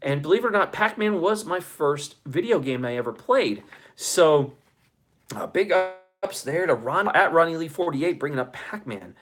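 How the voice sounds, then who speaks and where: A man in his forties with a slightly high voice hosts a casual livestream. He reads out comments from his audience and talks back to them in enthusiastic, chummy, swear-heavy slang. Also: very animated, normal speed, a bit bright. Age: 40s. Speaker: show host.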